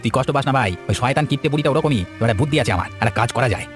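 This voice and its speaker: A scheming village man from a cartoon, voiced by a man. He talks very fast in a chatty, insistent way at a middling pitch, with music behind. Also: close to an everyday voice. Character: scheming, grudging village man, scornful of neighbour. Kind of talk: Bengali village cartoon voice acting.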